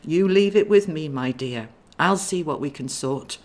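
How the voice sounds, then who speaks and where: A woman's voice: low-pitched, lively intonation, measured pace, darkish tone. A woman voicing a kindly male angel in a storybook, a genteel, musing big brother with a plan. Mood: planning.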